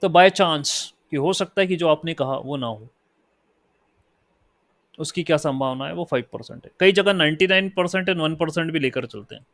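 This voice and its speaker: A man with an Indian accent teaching a statistics lesson. He explains in Hindi and reads definitions out slowly in English. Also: on the high side, fairly bright tone, no echo. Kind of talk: lecture.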